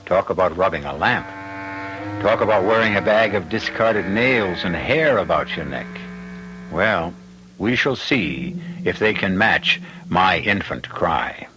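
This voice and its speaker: A wry radio mystery host muses to the listener. His voice is low and theatrical, rising and falling widely as he savours each deliberate phrase. Music plays faintly behind him. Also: lively intonation, poor audio. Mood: wry musing. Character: wry, droll storytelling host. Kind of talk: 1970s-style radio drama host narration.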